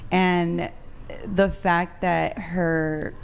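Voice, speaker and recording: Panel guest, woman, 30s, Bay Area native, low pitch, frank and chatty. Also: little pitch movement, extremely slow, creaky, slight background noise. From San Francisco Bay Area. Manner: candid, laughing between answers. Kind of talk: casual chat.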